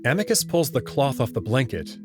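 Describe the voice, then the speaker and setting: A man's voice: mid-range voice, sing-song, brisk, music in the background. A man reading first-person visual novel narration and dialogue as the story's protagonist.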